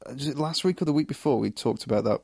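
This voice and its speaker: A man in casual discussion as a co-host, halting and often stammering over his words. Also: medium pitch, expressive, gravelly, a little mellow, husky, no room sound, studio quality. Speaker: co-host of a chat show.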